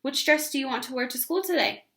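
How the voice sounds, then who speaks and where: A woman voicing an exasperated mother in a children's story, firm, brisk and emphatic with her little girl. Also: sits high, sharp tone, voice pushed up.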